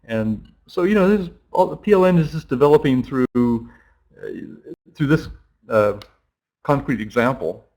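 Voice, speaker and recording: Middle-aged male teacher, interview guest, rambling and conversational, average pitch. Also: expressive, unhurried, darkish tone. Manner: rambling, thinking aloud with many restarts and fillers.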